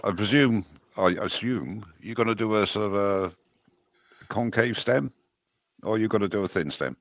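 A man's voice: fairly low pitch, normal speed, no echo, dull audio. A man chatting and bantering casually as a guest on a live stream.

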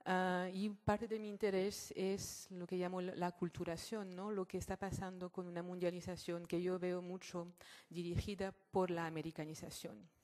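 A woman giving a public lecture as a guest speaker in non-native Spanish, halting as she searches for words. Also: lowish voice, little pitch movement, a little mellow. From born in Morocco, French mother, Catalan family. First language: not Spanish; French-schooled. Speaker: guest speaker, sociologist and activist.

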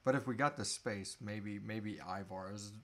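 Low-voiced man chatting haltingly. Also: bright, forceful tone. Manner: halting, trailing off mid-thought. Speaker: host answering audience questions. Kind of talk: casual live Q&A chat. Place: small indoor room.

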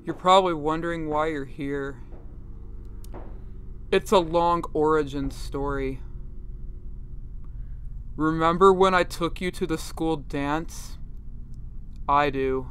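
A woman playing an awkward, petulant, obsessive teenage nerd kidnapper in a deadpan comedic roleplay. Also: deep voice, a little hiss or hum. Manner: deadpan, petulant, exasperated outbursts. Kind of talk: comedic roleplay audio monologue.

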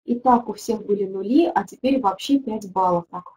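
A woman's voice: medium pitch, moderate pace, warm tone, dull audio. A middle-aged woman, a teaching methodologist, leading a webinar and answering viewer questions, thinking aloud with frequent hesitations.

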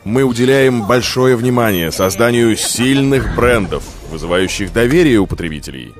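Animated male promo narrator over music. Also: mid-range voice. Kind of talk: scripted promotional corporate narration. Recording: music under the voice.

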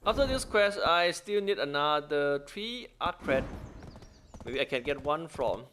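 A man's voice: fairly high pitch, lively intonation, measured pace, pushed and bright, slight background noise. A man giving casual gameplay commentary in short exclamatory bursts, with non-native English phrasing. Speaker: gaming YouTuber. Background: game music.

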